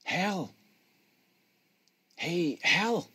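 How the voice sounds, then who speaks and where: A man's voice: high-pitched, lively intonation, drawn-out words, pushed and bright, slightly rough, dry recording, pitched above the performer's own voice, full character voice. A man reading a novel, voicing an eager, innocent, persistent younger brother. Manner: earnest, persistent.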